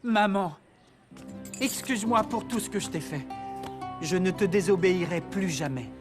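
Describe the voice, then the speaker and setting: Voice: medium pitch, normal speed, music in the background, lightly acted. An actor playing a naive, earnest and stubborn young boy hero in a French cartoon fairy tale. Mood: remorseful.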